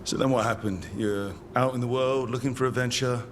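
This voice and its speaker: An actor playing a curious, encouraging adventurer companion in a video game, with an inquisitive, conversational way of talking. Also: male voice, medium pitch, fairly even intonation, brisk, a little hiss or hum, natural voice. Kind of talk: video game dialogue acting.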